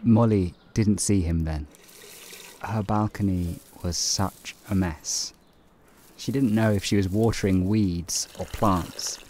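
A man narrating an audio play in a calm, measured and wry way. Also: deep voice, slight background noise. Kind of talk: scripted narration. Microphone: home recording booth.

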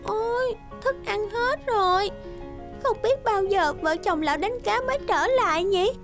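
Very high, childlike cartoon swan. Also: female voice, unhurried. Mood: worried. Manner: exclamatory, gushing and self-pitying. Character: lazy pink swan, childlike, gleeful then whiny. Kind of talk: cartoon fairy tale character acting. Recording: music under the voice.

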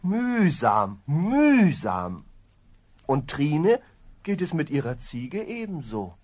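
A lazy, self-satisfied young farm lad in a fairy-tale radio play. His voice is fairly high and husky, and he drawls along simple-mindedly with big swoops in tone. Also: wildly swooping pitch, takes it slowly, darkish tone, no echo, heavily acted. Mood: grumbling, musing. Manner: sluggish, drawling musing with hums.

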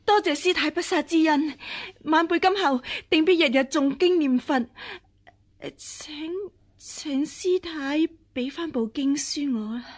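An actress playing a scheming empress dowager in a radio drama, haughty but hesitant and deferential when cornered. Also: female voice, high-pitched, a little slow. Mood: pleading, deferential. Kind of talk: Cantonese radio drama acting.

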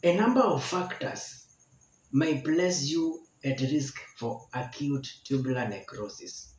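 A man in his forties teaching a health lesson to camera, slowly repeating each point to explain it. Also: fairly high pitch, lively intonation, a little mellow. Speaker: medical educator. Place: indoor room with barred window. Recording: echoey room.

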